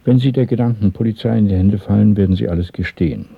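A grave underground recruiter in a radio play. His voice is very low and husky, with a formal, deliberate, interrogating manner. The recording is thin and narrow, with a very dry sound. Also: no echo. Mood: grave, sober. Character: grave, authoritative male conspirator recruiting for a secret organisation. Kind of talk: 1970s German radio drama acting.

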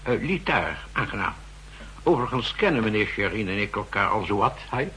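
An actor playing a fussy, insistent central-bank expert who explains pedantically, in 1960s radio drama style. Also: male voice, lowish voice, lively intonation, a little fast, pushed and bright. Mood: flustered, denying. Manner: pedantic, emphatic, hesitating and repeating himself. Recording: noisy vintage 1960s radio recording.

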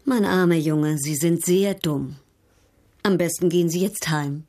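A haughty European baroness with a fairly low voice. She speaks formally and with poise, coolly ironic and a little flirtatious, in the manner of a radio play. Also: measured pace, mellow. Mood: cool amusement. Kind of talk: German radio drama acting.

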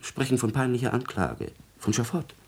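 An actor playing a servile, scheming court secretary in a classical radio drama, insinuating and calculating in a formal, obsequious manner. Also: male voice, mid-range voice, big pitch swings, moderate pace, gravelly, slightly rough, heavily acted. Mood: coldly calculating.

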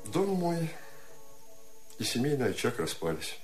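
An actor playing a reflective, tender, solitary male poet reading his letters in a measured, formal way in a radio play. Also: medium pitch, big pitch swings, soft background music.

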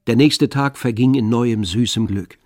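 A man narrating a literary radio play in measured, scripted storytelling. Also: mid-range voice, a little mellow, no echo, clean studio sound, faint music behind.

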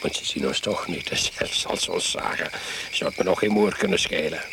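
An actor in a radio play playing an overbearing, eccentric older husband who is pushily hospitable and faintly menacing. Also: male voice, sits low, normal speed, vocal fry. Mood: capricious, insistent. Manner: domineering, capricious, interrupting. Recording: noisy radio play recording.

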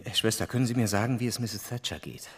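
A man's voice: on the low side, fairly even intonation, quick pace, fairly bright tone, slightly rough, soft background music. An actor playing an anxious, tender new father in a radio play, speaking hesitantly. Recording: long reverberant hall.

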